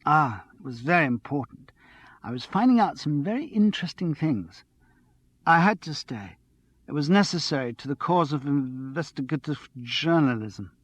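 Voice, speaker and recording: A man's voice: a little high, normal speed, no echo, pitched above the performer's own voice. A man putting on a giddy, tipsy young woman. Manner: breathless, chattering, excitable.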